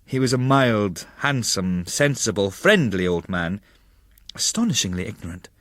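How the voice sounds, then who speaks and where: A man reading a literary travel memoir as a narrator, in a measured, formal storytelling manner. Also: on the low side, very animated, a bit bright, no echo, studio quality. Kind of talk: dramatic literary audiobook narration.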